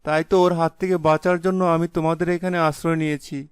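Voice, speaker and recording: A man voicing a young village husband, blustering but easily cowed, with an exclaiming, melodramatic delivery in an animated folk tale. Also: fairly high pitch, a little fast, clean tone, no echo, clean studio sound, lightly acted. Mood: worried. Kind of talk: animated fairy-tale voice acting.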